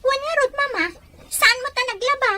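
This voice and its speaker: A woman's voice: very high-pitched, moderate pace, pushed and bright, a little hiss or hum, exaggerated voice. A woman acting a young daughter in an Ilocano family audio drama. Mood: dutiful, plaintive.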